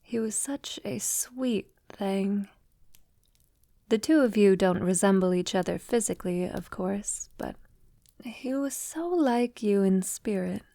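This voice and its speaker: A woman playing a centuries-old vampire lover in an intimate audio roleplay, tender, wistful and confiding, softly spoken with lapses into whispering. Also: medium pitch, no echo, clean studio sound. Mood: fond, wistful. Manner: soft, unhurried, breathy lapses into whispering.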